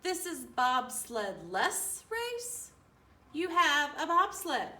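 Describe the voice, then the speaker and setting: Woman putting on an officious high-pitched penguin official. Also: big pitch swings, slow pace, pushed and bright, heavily acted. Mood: objecting. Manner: officious, dismissive, emphatic. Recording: roomy, distant mic, faint room noise.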